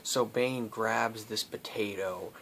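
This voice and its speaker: A man hosting casual improvised storytelling, rambling and halting as he makes it up. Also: lowish voice, fairly even intonation, fairly bright tone, slight background noise. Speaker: storyteller, host.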